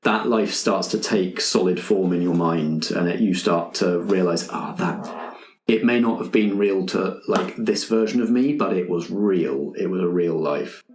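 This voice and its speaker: A man running a tabletop game as game master, improvising scene narration addressed to the players in halting phrases. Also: deep voice, darkish tone, a little hiss or hum. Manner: second-person scene-setting in halting phrases. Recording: echoey room.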